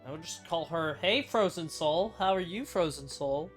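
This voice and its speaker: A woman's voice: fairly low pitch, lively intonation, moderate pace. A woman doing casual gameplay commentary, reacting with blunt, sceptical, offhand asides. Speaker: gamer reacting to game story. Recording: game music behind the voice.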